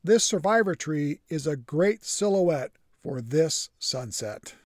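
A man's voice: on the high side, a little mellow, slight background noise. A man narrating his camping trip in a scripted voice-over, matter-of-fact with dry humor. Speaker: vlogger.

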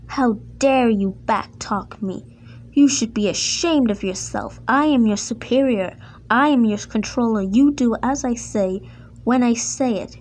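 A woman's voice: a little high, darkish tone, a little hiss or hum, close to an everyday voice. Woman as a pompous, bullying railway boss. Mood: thundering anger. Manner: domineering, drawn-out scolding. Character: pompous, bullying, hot-tempered male railway controller.

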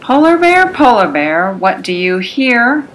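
A woman reading aloud to young children as a storyteller, in an expressive, singsong and emphatic manner. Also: on the high side, noisy background. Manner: singsong, deliberate, emphatic delivery. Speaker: children's read-aloud host. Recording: music behind the voice. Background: music.

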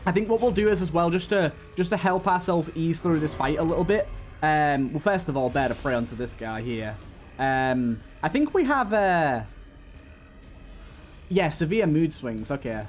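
Higher-voiced man doing game commentary. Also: expressive, moderate pace. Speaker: gaming video host. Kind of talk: casual let's-play commentary.

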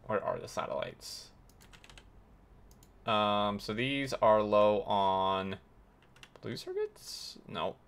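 A man hosting a casual game tour, talking with warm enthusiasm and plenty of fillers, his voice getting hoarse. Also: lowish voice, very slow, long pauses. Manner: enthusiastic, rambling with many fillers. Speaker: gaming video host.

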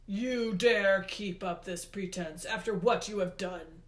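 A woman voicing a furious, imperious male god of the underworld for a storybook, with booming, emphatic, drawn-out words. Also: lightly acted. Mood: menacing rage. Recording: roomy, echoey indoor sound.

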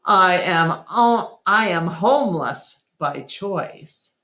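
A woman's voice: on the low side, expressive, very slow, bright, forceful tone, reverberant, heavily acted. A woman voicing a small, sniffy, sceptical male stray dog in a storybook, with an offhand, questioning manner.

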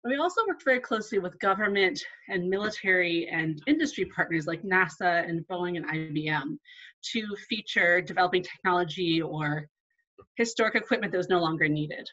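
A woman's voice: lowish voice, a little fast, fairly bright tone, muffled recording. A woman archivist giving a live online talk, conversational with frequent ums and asides and no regional accent. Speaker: museum archivist.

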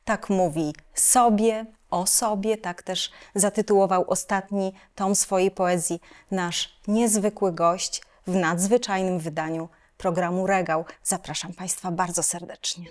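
A woman's voice: lowish voice, steady tone, measured pace. Woman hosting a studio interview. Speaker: interviewer and programme host. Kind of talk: studio interview with scripted greeting. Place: furnished indoor TV studio.

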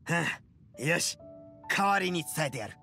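A man voicing a brash, good-natured young male oni in an anime game, with a rough, exaggerated manner. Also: on the high side, lively intonation, pushed and bright, full character voice. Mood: boisterous. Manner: rough, exaggerated, drawn-out exclamations. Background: music.

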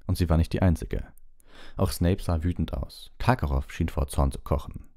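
A man's voice: extremely low pitch, moderate pace, dark tone, no room sound, clean studio sound. A man reading a novel aloud as an audiobook narrator, in scripted literary narration.

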